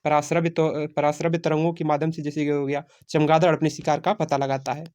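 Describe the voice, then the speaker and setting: A man's voice: on the high side, level tone, fairly quick, a little mellow, clear, resonant tone. A man teaching a quiz-style lesson, repeating phrases and answering his own questions. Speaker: teacher of exam-prep science class.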